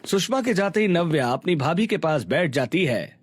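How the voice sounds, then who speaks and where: A man's voice: a little high, sing-song, moderate pace, breathy edge. A man narrating a scripted cartoon family story.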